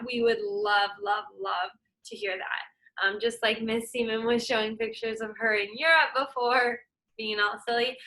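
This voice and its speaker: A woman's voice: a little high, pushed and bright, rough recording. A young woman teacher reading a picture book aloud to children, upbeat and encouraging, explaining words as she goes.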